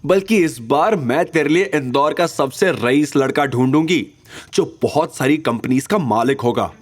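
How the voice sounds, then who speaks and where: Man voicing a sly scheming mother, lifted pitch. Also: measured pace, close to an everyday voice. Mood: sly, soothing. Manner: coaxing, sly. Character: scheming middle-aged mother, greedy and coaxing. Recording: music bed behind.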